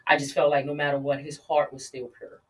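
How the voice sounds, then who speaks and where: A woman guest telling a personal story in an interview, earnest and halting with frequent restarts. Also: low voice, flat delivery, recorded from a distance, rough recording.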